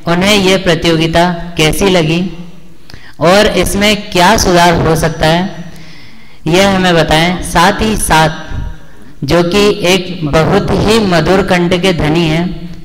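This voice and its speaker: Slow formal male event anchor in a hall. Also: sits high, steady tone. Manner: formal and courteous. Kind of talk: stage announcement. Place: hall at a live stage event. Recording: long hall reverb.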